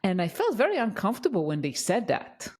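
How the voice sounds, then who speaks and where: A woman's voice: medium pitch, huge pitch swings, fairly quick, darkish tone. A woman with a foreign accent, hosting a conversational interview podcast. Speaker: podcast host.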